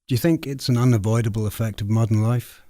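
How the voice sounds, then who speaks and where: A man's voice: medium pitch, steady tone, quick pace, dark tone, no echo, studio quality. A man hosting a literary interview in a reflective, conversational way. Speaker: podcast host.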